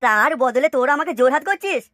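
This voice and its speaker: Casting: woman as bragging cartoon landlord's boy, high-pitched, rattling off haughty scolding at top speed. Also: expressive, fast talker, noisy background. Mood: indignant, ranting. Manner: pompous, scolding. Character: boastful, touchy young landlord's son, cartoon boy. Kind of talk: exaggerated comic cartoon dialogue.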